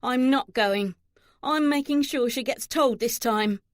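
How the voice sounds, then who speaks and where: A woman's voice: fairly high pitch, animated, normal speed, no room sound, studio quality, natural voice. A woman voicing an older female servant in an audiobook novel, fiercely protective, blunt and insistent. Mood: stern.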